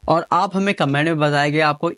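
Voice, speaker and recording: A man's voice: on the high side, fairly even intonation, brisk, a bit bright, no echo. A man giving casual, informal unboxing commentary as an enthusiastic gadget reviewer, often repeating his phrases.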